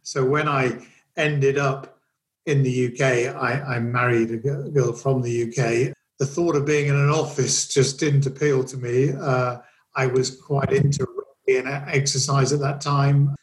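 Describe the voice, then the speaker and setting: Relaxed middle-aged man, self-deprecating. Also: medium pitch, measured pace, low-quality recording. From Kenya. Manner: relaxed, self-deprecating, rambling with many fillers and restarts. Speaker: guest, fitness executive. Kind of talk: casual interview talk.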